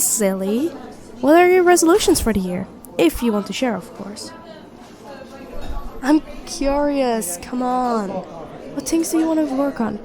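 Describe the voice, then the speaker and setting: High-pitched, chatty young female best friend, acted by a woman. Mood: playfully curious. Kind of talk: intimate ASMR roleplay acting, one side of a conversation.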